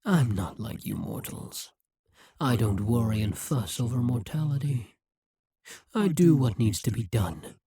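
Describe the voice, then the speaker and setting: Man doing a demon king, possessive, arrogant, breathy low-lit tone, slow drawl, swooping inflection. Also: expressive, dark tone, no room sound, clean studio sound, exaggerated voice. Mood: musing. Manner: condescending, slow and musing. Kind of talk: audio roleplay.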